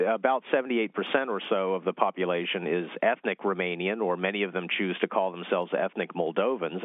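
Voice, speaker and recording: A man speaking as an expert guest in a radio interview, explaining in a measured, scholarly way and often repeating words as he goes, over a phone line. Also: deep voice, no echo.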